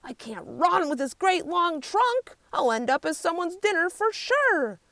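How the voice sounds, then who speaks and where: A woman's voice: medium pitch, animated, moderate pace, creaky, no room sound. A woman voicing a herd of proud, snorting warthogs in a storybook, boastful in manner. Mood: panicked.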